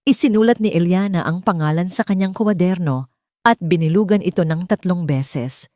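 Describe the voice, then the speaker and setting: Female story narrator: low register, soft dark tone, dry recording, scripted dramatic delivery. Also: moderate pace, no room sound. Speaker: audiobook narrator.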